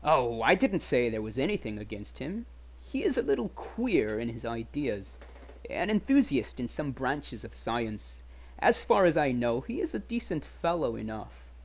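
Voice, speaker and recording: Storybook young gentleman, played by a man: frank, chatty, wary, old-fashioned manners, very lively pitch. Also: moderate pace. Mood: chatty, candid. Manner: animated, emphatic, old-fashioned polite phrasing. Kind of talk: dramatic audiobook reading.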